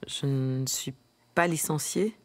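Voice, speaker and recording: An actress playing a timid, deferential office employee who stammers, in a satirical radio drama. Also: female voice, low voice, takes it slowly. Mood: timid, puzzled.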